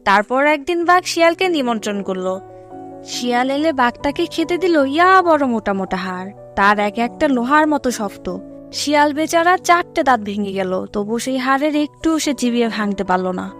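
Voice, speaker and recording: A woman's voice: sits high, lively intonation, normal speed, fairly bright tone. A woman narrating a scripted fable for children as a storyteller. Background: music.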